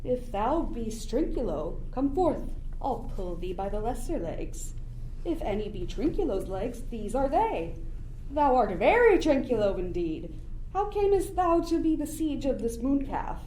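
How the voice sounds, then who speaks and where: A woman's voice: fairly high pitch, sing-song, measured pace, dark tone. A woman playing a boozy, scheming, blustering sailor in a theatrical Shakespearean radio play. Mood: astonished. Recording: roomy sound with clear background noise.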